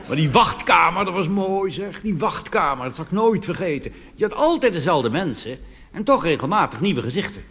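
Dutch cabaret comedian in a reverberant hall. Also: male voice, high-pitched, normal speed. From Netherlands. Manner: deadpan punchlines with animated put-on voices. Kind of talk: stand-up monologue. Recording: reverberant hall with audience noise.

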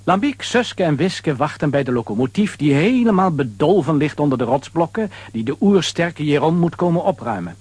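A male narrator tells a children's adventure story in a scripted style. His voice sits a little high for a man and has a husky edge. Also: very animated, moderate pace, few pauses, darkish tone, no room sound.

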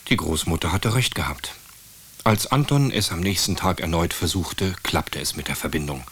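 A man narrating a children's radio play as a storyteller, with a husky voice. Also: low-pitched, moderate pace, a bit bright, full character voice. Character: male storyteller of a children's tale.

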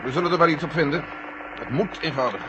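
An actor playing a blunt, candid older male general in charge of a space mission in a 1970 radio drama, speaking deliberately. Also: a little high, natural voice. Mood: grave. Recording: dull, noisy old radio recording.

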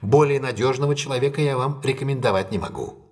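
An actor playing a composed, decisive gentleman detective in an audio drama, speaking with measured, courteous authority. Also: medium pitch, faint music behind, lightly acted.

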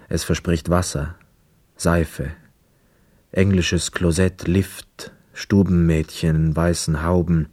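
An actor playing a reflective, weary homecoming soldier in a radio play, with measured, lyrical phrasing. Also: male voice, deep voice, a little mellow, dry recording. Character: weary young war returnee, reflective, dreamy wanderer. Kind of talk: literary radio play monologue and dialogue.

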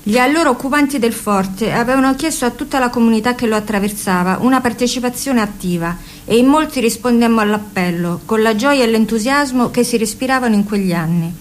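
A woman's voice: on the high side, fairly quick, slight background noise. Earnest woman reading a memoir. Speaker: reader. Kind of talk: first-person memoir reading.

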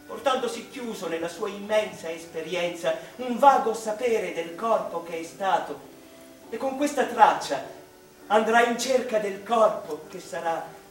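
An anguished, reflective mathematician in a radio drama. The voice is high for a man and sits back in a roomy space with music behind it. He speaks slowly and theatrically, pausing between short phrases. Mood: rapt. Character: anguished, reflective English mathematician recalling his life. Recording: roomy, distant stage-like sound, music behind.

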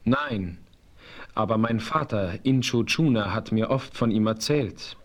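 A solemn, noble chief. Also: male voice, fairly low pitch. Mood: earnest. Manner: formal, measured, dignified. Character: noble, solemn Apache chief, adult man. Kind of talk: radio play acting.

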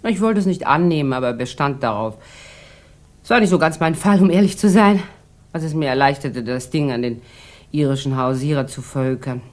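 An actress playing a jaded, hard-drinking, sharp-tongued woman in a 1960s German radio crime drama, with an ironic, languid manner. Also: low voice, brisk, natural voice. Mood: wry, melancholy.

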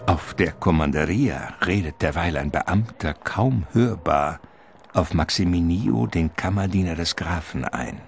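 An actor playing the ominous, dramatic narrator of a gothic radio drama. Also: male voice, sits low, expressive, a little slow, creaky, full character voice. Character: ominous male storyteller of a gothic vampire tale. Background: music.

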